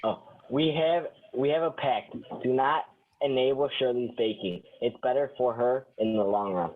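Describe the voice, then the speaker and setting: A man's voice: mid-range voice, normal speed, natural voice. A man playing a sarcastic, dismissive sitcom group leader with a dry delivery in a table read. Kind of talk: sitcom script read aloud. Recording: thin phone-like sound.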